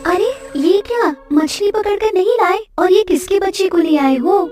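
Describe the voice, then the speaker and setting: A woman voicing a caring but easily worried fisherman's wife who scolds, in an expressive cartoon story style. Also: very high-pitched, moderate pace, distant mic, soft background music. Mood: surprised, tender. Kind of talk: Hindi cartoon story dialogue.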